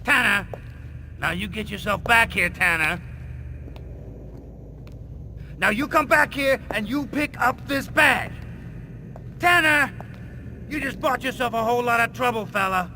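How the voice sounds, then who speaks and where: An actor playing a stern, exasperated police superior in a video game. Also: male voice, extremely high pitch, lively intonation, moderate pace, sharp tone, exaggerated voice. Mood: angry, exasperated. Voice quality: gravelly. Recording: game cutscene, faint vehicle noise.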